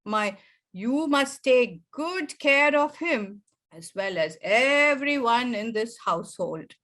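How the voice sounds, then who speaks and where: A woman's voice: fairly high pitch, animated, slow, a bit bright. A woman storyteller voicing her strict, disciplined older mother-in-law in a stern, scolding tone.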